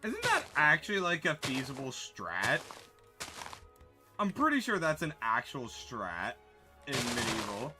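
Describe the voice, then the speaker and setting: A man's voice: mid-range voice, wildly swooping pitch, a little slow, over music. A man chatting casually with his chat while livestreaming a game, answering questions and correcting himself as he goes.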